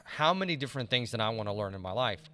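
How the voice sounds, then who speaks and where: Fast-talking man hosting a chat. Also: medium pitch, expressive, bright, forceful tone, smooth. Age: late 30s. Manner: rambling, frequent "right?" check-ins and restarts. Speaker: podcast host. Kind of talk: casual conversational interview.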